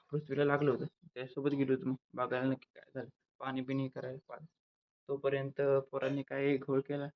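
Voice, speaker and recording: A man's voice: mid-range voice, no room sound. A young man with a rural western Maharashtra accent chatting casually for a daily vlog, rambling and correcting himself as he goes. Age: young adult. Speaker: vlogger. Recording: dull lo-fi phone sound, background noise.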